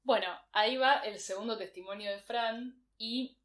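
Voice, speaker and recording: A woman hosts a literature podcast, explaining ideas in a measured, even way. She sets up quotations carefully and walks the listener through each point. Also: fairly high pitch. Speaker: podcast host. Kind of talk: reflective literary commentary.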